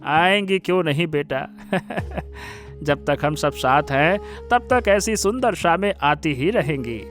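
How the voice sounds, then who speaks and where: A man playing a warm, sentimental elderly village father in a Hindi cartoon story, given to exclaiming. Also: a little high, expressive, slow, faint music behind. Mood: warm, reminiscing. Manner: exclamatory, repeats words of praise.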